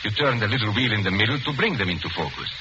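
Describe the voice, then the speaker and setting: An actor playing a suave, confident illusionist detective in a 1940s radio mystery, speaking deliberately with formal courtesy. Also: male voice, lowish voice, expressive, close to an everyday voice. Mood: triumphant explanation. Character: male master illusionist sleuth, suave, confident, unmasking a killer. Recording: noisy muffled 1940s radio recording.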